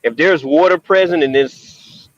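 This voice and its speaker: A man in a casual video call who talks haltingly with many pauses and restarts. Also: fairly high pitch, dry recording, lo-fi sound. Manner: hesitant, many ums and restarts.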